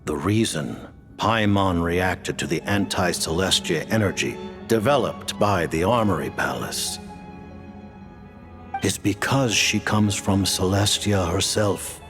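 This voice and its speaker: An actor playing an ancient, commanding male leader who speaks in slow, measured pronouncements in a game cutscene. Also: deep voice, animated, fairly bright tone, faint music behind, heavily acted. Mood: solemn. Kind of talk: video game cutscene voice acting.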